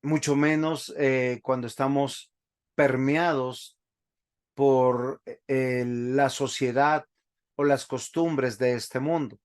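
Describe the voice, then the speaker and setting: A man, a pastor teaching a Bible class over a video call, speaking slowly and stopping often to ask the class questions. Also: mid-range voice, steady tone, clear, resonant tone. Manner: slow, halting, asks the class questions often.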